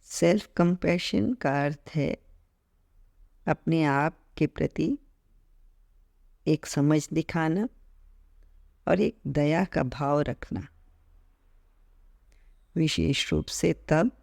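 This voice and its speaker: Slow, mellow male meditation guide. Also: fairly high pitch, vocal fry, no room sound, studio quality. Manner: measured, phrase-by-phrase delivery with gentle pauses; mixes English words into Hindi, repeating terms in both.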